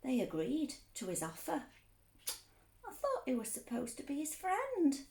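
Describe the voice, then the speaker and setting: A woman reading a story aloud for children, expressive, explaining things simply as a teacher would. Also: high-pitched, measured pace, gravelly, echoey room, background noise.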